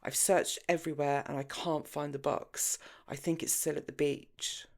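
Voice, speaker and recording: A woman voicing a headstrong, breezy married woman with a brisk, emphatic manner in an audiobook. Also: low voice, fairly even intonation, gravelly. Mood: matter-of-fact.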